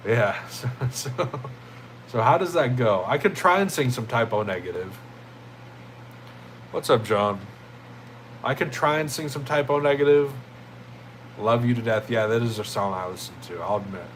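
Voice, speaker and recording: A young man with a deep, jazzy voice, chatting casually with viewers on a livestream in a loose, rambling way. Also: animated, normal speed, long pauses, a little hiss or hum. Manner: laid-back, rambling, thanks chatters as "my friend" and "bro".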